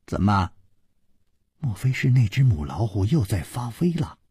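A man's voice: mid-range voice, very animated, normal speed, mellow, breathy edge, no room sound, clean studio sound. A man voicing a grinning young servant boy in an audiobook, playful and mocking. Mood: teasing.

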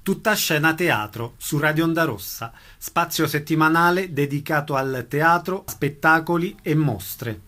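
A man's voice: fairly high pitch, a little slow, hardly any pauses, sharp tone. A man reading a scripted radio programme announcement. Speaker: station announcer.

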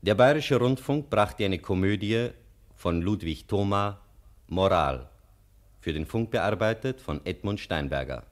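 A man with a standard German accent reading a formal, measured scripted announcement as a radio announcer. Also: on the low side, lively intonation.

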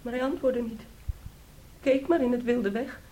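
An actress playing a fragile, anxious young niece in a Dutch radio play, pleading and hesitant. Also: female voice, fairly high pitch, steady tone, normal speed, mellow, slight background noise, lightly acted, low-quality recording. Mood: hurt, earnest. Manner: plaintive, hesitant, earnest.

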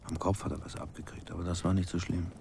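An actor playing a grim villager recalling cruel childhood events, deliberate and hushed, in a radio play. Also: male voice, low-pitched, level tone, a little hiss or hum. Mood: brooding, hushed. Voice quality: gravelly, husky, breathy. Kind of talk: slow dramatic radio play storytelling.

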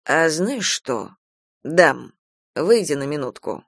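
A man's voice: fairly high pitch, expressive, slow pace, a bit bright, no room sound, clean studio sound, voice pushed down, heavily acted. A man voicing a weary, well-off uncle who grumbles in a restrained, reproachful way. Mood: wry.

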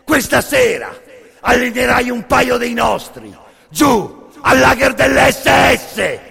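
Man playing a high-strained, barking camp commander. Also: lively intonation, slow pace, vocal fry, sharp tone, breathy edge, big-room sound, full character voice. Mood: contemptuous, commanding. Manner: contemptuous, commanding. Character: brutal Nazi camp guard commander barking orders.

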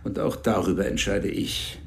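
A gravelly, commanding spy chief. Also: male voice, low-pitched, moderate pace. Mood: lecturing. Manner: authoritative, instructive briefing tone. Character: commanding male spy chief, cool and controlling. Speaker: intelligence chief. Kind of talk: radio drama acting.

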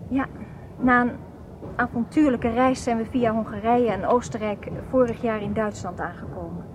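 A woman's voice: on the high side, steady tone, moderate pace, lightly acted, poor audio. An actress playing a polite, chatty young refugee woman in a radio drama. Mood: chatty, friendly. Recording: radio play with clear background noise. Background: train ambience.

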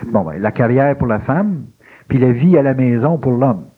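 A man's voice: mid-range voice, expressive, normal speed, breathy edge. A male interviewer with a Québécois French accent, speaking conversationally and reflectively, searching for his words as he frames questions. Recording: thin, phone-like lo-fi interview recording.

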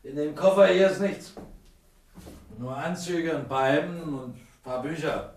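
An actor playing a sceptical, matter-of-fact police inspector in a German detective radio play. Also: male voice, on the high side, unhurried, a little mellow, echoey room, slight background noise, rough recording. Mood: busy searching. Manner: matter-of-fact, questioning, colloquial. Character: plain-spoken, sceptical male police inspector.

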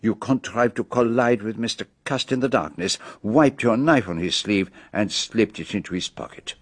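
An actor playing a brilliant, self-assured foreign detective with a French accent, explaining precisely and theatrically in a radio drama. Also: male voice, lowish voice, moderate pace, no echo, close to an everyday voice. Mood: methodical, accusing. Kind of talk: BBC radio drama acting.

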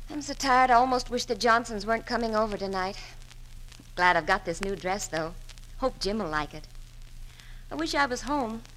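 A woman's voice: a little high, lively intonation, normal speed, pauses often, fairly bright tone, low-quality recording. A woman playing a tired, chatty, harried housewife in a 1940s radio advertisement, grumbling and exclamatory. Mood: weary, chatty. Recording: vintage 1940s radio, faint noise.

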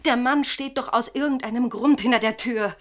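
A woman's voice: a little high, fairly even intonation, normal speed, a bit bright, lightly acted. An actress playing a shrewd, insistent woman in a German radio play, pressing her point with persuasive, pointed irony. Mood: urgent, persuasive.

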